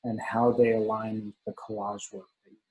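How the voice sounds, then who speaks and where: Husky, mellow-voiced panel moderator, a man. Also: lowish voice, flat delivery, normal speed, low-quality recording.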